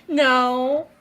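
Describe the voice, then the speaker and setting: A woman's voice: sits high, sharp tone. A woman on a casual online panel chat who giggles and laughs often. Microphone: online call studio.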